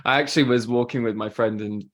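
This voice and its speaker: A man's voice: mid-range voice, fairly even intonation, quick pace. A man with a British accent, a guest talking openly and easily in an interview. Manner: chatty, open, laughs easily. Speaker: guest, spiritual mentor. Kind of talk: casual interview chat.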